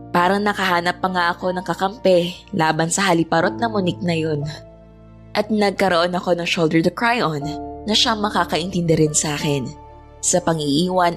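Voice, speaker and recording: An actress playing a gentle young Filipino woman who narrates her own story in a confiding, reflective way. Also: fairly low pitch, lightly acted. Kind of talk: scripted first-person story narration.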